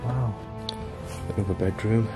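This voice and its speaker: A man's voice: on the low side, a little slow, loud music behind the voice. A man in his thirties from England, talking casually and exclaiming in awe as he explores an abandoned house. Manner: excited, awestruck exclamations while walking around. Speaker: urban explorer vlogger. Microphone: handheld camera mic.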